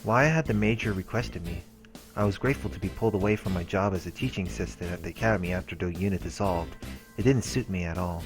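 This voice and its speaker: A man's voice: low voice, quick pace. A man hosting a let's play, casually reading a visual novel's story text aloud. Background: game music.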